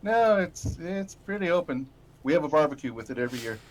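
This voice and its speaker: A man's voice: fairly high pitch, lively intonation, a little fast, slight background noise, low-quality recording. A man in a group gaming chat, speaking casually with a joking, anecdotal style. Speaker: player in a group gaming stream.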